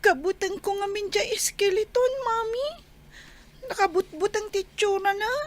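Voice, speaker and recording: Very high, whiny little child. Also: female voice, a little slow. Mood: fearful, whiny. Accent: Ilocano. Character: frightened whiny little child afraid of ghosts. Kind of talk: Ilocano radio comedy drama.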